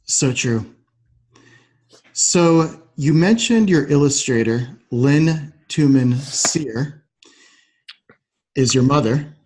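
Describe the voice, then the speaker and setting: A man with a medium-pitched voice hosts a podcast conversation. He sounds agreeable and thoughtful, working through his ideas in long, loosely rambling sentences. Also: expressive, extremely slow, pauses often, a little mellow, poor audio. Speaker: podcast host. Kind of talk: conversational interview.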